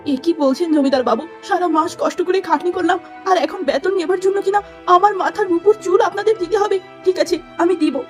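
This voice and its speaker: A woman voicing a kind, gentle, poor elder sister who speaks softly and with care. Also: very high voice, a little fast, hardly any pauses, faint music behind, pitched above the performer's own voice. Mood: pleading. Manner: soft, pleading, caring.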